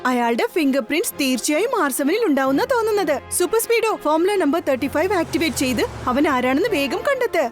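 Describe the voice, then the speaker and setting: Eager, very high-pitched boy hero. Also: big pitch swings, fast, hardly any pauses, soft background music. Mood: eager, confiding. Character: plucky clever young boy hero. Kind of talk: Malayalam cartoon dub acting.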